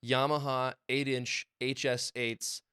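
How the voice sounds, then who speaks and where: Young male podcast co-host, chatty and excitable, swears freely, clean dry mic. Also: mid-range voice, takes it slowly, clean tone, no room sound. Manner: excited, swearing, rambling storytelling. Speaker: podcast co-host and music producer. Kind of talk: casual chat. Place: small home studio.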